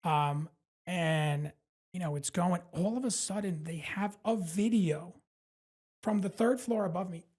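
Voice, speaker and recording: Comedian guest, man, New York accent, slightly husky, loose and profane storyteller. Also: high voice, unhurried. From Brooklyn, New York. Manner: animated, profane, rambling, full of fillers and self-mockery. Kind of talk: casual storytelling in a podcast interview.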